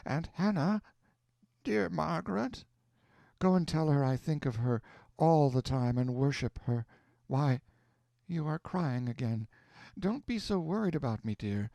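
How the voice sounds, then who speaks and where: A man doing a gravely ill, doting mother. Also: fairly high pitch, expressive, measured pace, long pauses, dark tone, dry recording, voice pushed up. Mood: tender wistful. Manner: tender, wistful.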